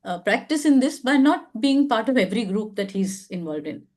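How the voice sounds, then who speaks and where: A woman's voice: a little high, very animated, normal speed, darkish tone. Reflective woman hosting an advice chat. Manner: reflective, affirming, with frequent restarts. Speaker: advice show host. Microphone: video call.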